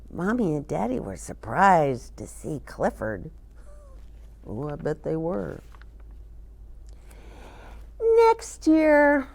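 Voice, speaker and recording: Female children's storyteller: unhurried, very expressive, wide swings in pitch, speaking directly to little ones with questions. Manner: animated, sing-song, addresses children with questions. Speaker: children's storyteller and show host. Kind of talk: read-aloud and chat for young children.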